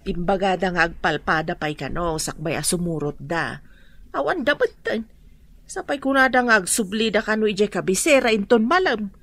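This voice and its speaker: A woman's voice: medium pitch, expressive, moderate pace, a bit bright. An anxious Ilocano mother. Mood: worried. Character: worried middle-aged mother who defends her children. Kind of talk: radio drama acting.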